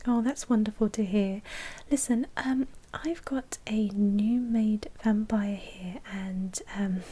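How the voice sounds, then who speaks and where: A woman playing a chatty, politely officious vampire bank manager in a whispered, breathy ASMR roleplay. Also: mid-range voice, fairly even intonation, slow pace, dark tone. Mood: chatty, confiding.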